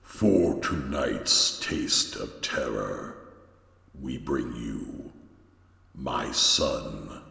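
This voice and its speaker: An actor playing a sinister male horror host who taunts the listener in a slow, mocking way. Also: extremely low pitch, lively intonation, creaky, sharp tone, full character voice. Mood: menacing. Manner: slow, taunting, menacing delivery. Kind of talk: 1940s-style radio horror hosting. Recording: echoey reverb-heavy hall effect.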